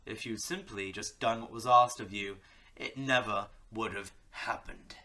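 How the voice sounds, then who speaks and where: A man's voice: on the low side, steady tone, unhurried, sharp tone. A man playing a smug, sadistic crime boss in an audio drama, taunting theatrically and slipping into menacing whispers. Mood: mocking. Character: smug, sadistic male crime-boss villain who threatens softly. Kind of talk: audio roleplay drama acting.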